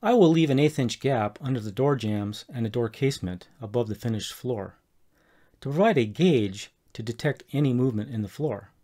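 Middle-aged guy reading a diy voice-over, steady and methodical, mid-pitched voice. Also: normal speed. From Colorado, USA. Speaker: homeowner explaining a DIY project. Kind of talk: scripted voice-over narration.

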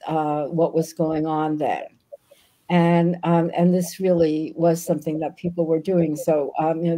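An older woman whose first language was Yiddish, speaking as a guest author on a video call from a book-lined room, pausing often with ums. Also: low voice, dark tone, rough recording. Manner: thoughtful, frequent ums. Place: home room with bookshelves.

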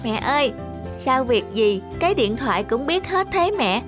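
A curious, sensitive little boy voiced by a woman in a cartoon tale. The voice is fairly high and earnest, asking questions, over clear music. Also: very animated, moderate pace.

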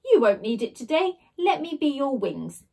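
A woman's voice: sits high, sing-song, moderate pace, gravelly, warm tone, exaggerated voice. A woman reading a storybook, voicing a mischievous, helpful shapeshifting blob of slime in a playful, assured way.

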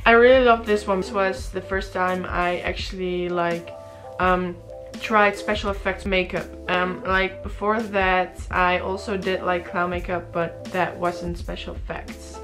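A young woman, chatting casually to camera in her bedroom, a little sleepy and raspy, rambling with many fillers and restarts. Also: on the low side, little pitch movement, measured pace, music in the background. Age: young adult. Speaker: beauty vlogger. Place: small bedroom indoors. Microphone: camera in front at close range.

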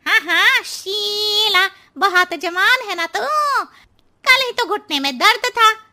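A performer voicing a cheeky, mocking pet parrot in a cartoon, with a very high, squeaky voice and taunting singsong delivery. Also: takes it slowly, pushed and bright, heavily acted. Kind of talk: animated cartoon dialogue.

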